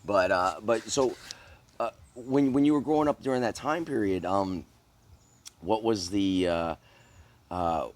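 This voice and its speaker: A middle-aged man from the American South interviewing a guest, speaking thoughtfully with many halting restarts. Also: lowish voice. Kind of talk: casual interview.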